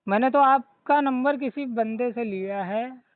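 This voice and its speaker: This is a man with a strikingly high voice and an Uttar Pradesh, North Indian accent. He pushes his points in an insistent, confrontational lecture. The sound is a dry, thin, narrowband phone recording. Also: measured pace, no echo. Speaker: complainant on a phone call. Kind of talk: argumentative phone conversation. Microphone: phone line.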